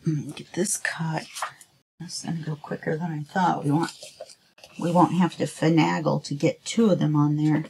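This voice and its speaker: A woman's voice: low voice, flat delivery, normal speed, vocal fry. A woman hosting a craft tutorial, casually chatting to herself as she works, a little sniffly. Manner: chatty, talks to herself while working. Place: craft table at home. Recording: noisy home recording.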